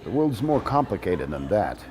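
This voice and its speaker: A man acting a theatrical monologue as a wry former activist, speaking deliberately with dramatic pauses. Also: fairly low pitch, sing-song, background noise. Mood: earnest, persuasive. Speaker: actor reading a monologue.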